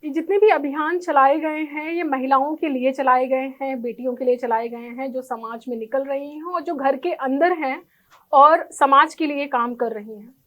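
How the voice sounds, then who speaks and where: A woman's voice: high voice, fairly even intonation, normal speed, fairly bright tone. A woman giving formal interview answers in an earnest manner, mixing in English words. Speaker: interview guest, architect and NGO volunteer.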